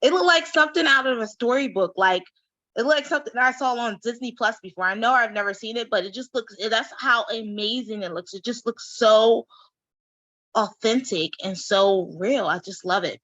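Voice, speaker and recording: A woman hosting an interview, upbeat and enthusiastic, reacting with excited exclamations. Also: a little high, moderate pace, no echo. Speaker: show host. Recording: dull lo-fi dry sound.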